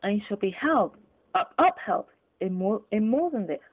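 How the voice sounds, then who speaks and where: A woman as a gentle, solemn ghost guide, husky. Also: mid-range voice, very animated, moderate pace, phone-quality sound. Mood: gentle reassurance.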